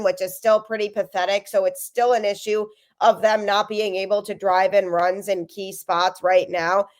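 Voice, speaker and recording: A young woman hosting a sports podcast, talking energetically with a stuffy voice from a cold. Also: mid-range voice. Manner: energetic, emphatic. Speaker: podcast host.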